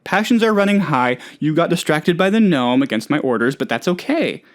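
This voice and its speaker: A man's voice: fairly high pitch, very animated, a little fast. A man playing an eccentric, eager, scatterbrained office worker in a comedic video game, rambling earnestly about absurd things. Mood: cheerful, eager. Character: eccentric, eager, scatterbrained male office colleague, cheerfully odd.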